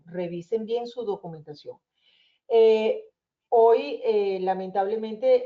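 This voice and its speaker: A woman with a Venezuelan accent, an immigration lawyer explaining visa options in a webinar in a calm, reassuring manner. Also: medium pitch, highs cut off. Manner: reassuring, explanatory, addresses listeners as señores.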